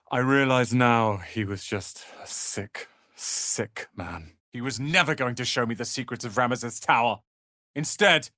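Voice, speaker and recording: A man's voice: lowish voice, a bit bright, dry recording, studio quality. A man playing a proud, prickly young apprentice wizard in a video game, speaking in a haughty, clipped and articulate way. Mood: bitter, subdued. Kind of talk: dramatic video game voice acting.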